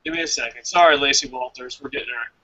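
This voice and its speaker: A man's voice: mid-range voice, quick pace, no echo, low-quality recording. A man hosting a casual wrestling review show, joking and swearing freely as he reads out listener comments. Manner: profane, joking, animated.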